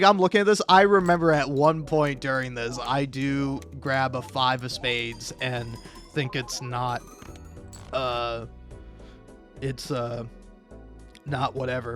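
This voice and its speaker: A man giving casual, rambling game commentary in a halting, jokey way, often repeating words and restarting, swearing freely and putting himself down. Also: mid-range voice, fairly even intonation, a bit bright. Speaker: video game critic and YouTuber. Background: music.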